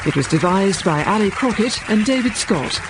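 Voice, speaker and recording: A man's voice: high voice, animated, normal speed, noisy background. A man reading scripted radio show announcements in a theatrical style. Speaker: continuity announcer. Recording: over loud music and audience. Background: music and crowd.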